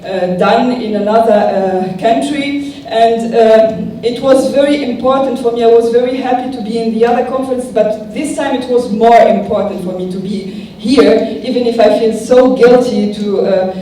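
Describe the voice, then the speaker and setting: A woman's voice: on the high side, moderate pace, hardly any pauses, mellow, slight background noise. A woman from Tunisia with a Tunisian accent, giving an improvised keynote speech, hesitant and thinking aloud with many fillers. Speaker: keynote speaker. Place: large conference hall. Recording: echoey hall from far off.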